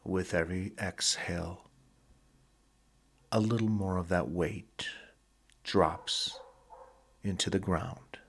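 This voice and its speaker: A man narrating a sleep hypnosis session in a husky, breathy voice, with a slow, deliberate, soothing delivery and short pauses between phrases. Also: sits low, fairly even intonation, a bit bright.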